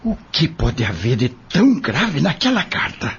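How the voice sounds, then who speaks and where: A man plays a curious, impatient Brazilian farm worker in an audio drama. His voice is very husky and swings widely as he questions and insists. The recording is a little dull, with faint music. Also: fairly high pitch, wildly swooping pitch, measured pace, a bit bright, heavily acted. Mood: intrigued, impatient. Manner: insistent, questioning, plain-spoken. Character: curious, impatient male farm labourer with a grievance.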